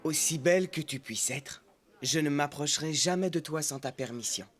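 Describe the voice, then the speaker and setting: Man as an earnest, higher-voiced young suitor. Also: normal speed, soft background music, natural voice. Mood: sincere. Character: earnest, chivalrous young bridegroom. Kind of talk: French cartoon dubbing.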